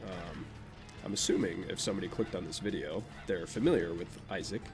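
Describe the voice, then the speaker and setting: A man's voice: sits low, brisk. A young man with a naturally nasal voice, rambling in casual gameplay commentary and chatting offhandedly about his life. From Vermont, USA. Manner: rambling, self-deprecating, offhand. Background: game music.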